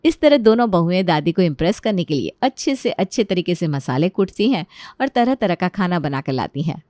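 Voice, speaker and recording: A woman's voice: on the low side, very animated, a little fast, warm tone, no room sound, clean studio sound. A woman narrator reading a scripted story.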